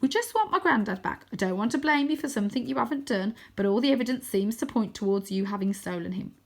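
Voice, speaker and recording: A woman's voice: on the high side, animated, quick pace, vocal fry. A woman voicing an earnest young boy in a storybook, scared but plucky and polite. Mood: nervous, pleading. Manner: hesitant, earnest pleading.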